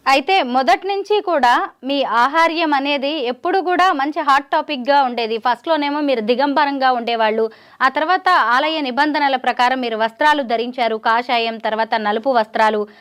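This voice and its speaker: A woman working as a news interviewer, recapping points in an animated way and putting probing questions. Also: high-pitched, normal speed, clean tone.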